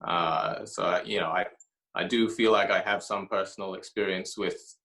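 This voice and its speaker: Low, bright-voiced man answering thoughtfully. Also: steady tone. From grew up in Haiti and Ethiopia. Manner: reflective, pauses often with fillers and restarts. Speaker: author being interviewed.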